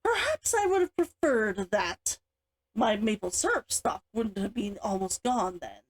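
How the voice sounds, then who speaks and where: A woman's voice: medium pitch, big pitch swings, measured pace. A woman reading the part of a stern, exasperated elder sister and dutiful matriarch in a storybook, in a scolding manner. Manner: growling, muttering, scolding.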